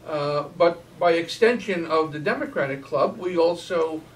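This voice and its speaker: A man giving public remarks as a club leader at a community forum, in a hesitant, halting delivery. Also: fairly high pitch. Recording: distant mic, faint background noise.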